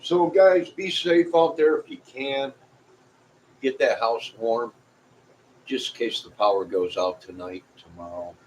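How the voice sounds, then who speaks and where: A man's voice: medium pitch, measured pace, rough recording. A middle-aged or older man chatting casually to viewers in a folksy, rambling way, often repeating himself. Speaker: vlogger. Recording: clear background noise.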